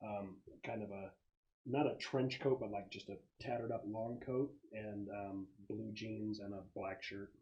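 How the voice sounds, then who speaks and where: A man acting as game master, casually narrating scenes and explaining rules in a halting, think-aloud way. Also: on the low side, steady tone, dull audio.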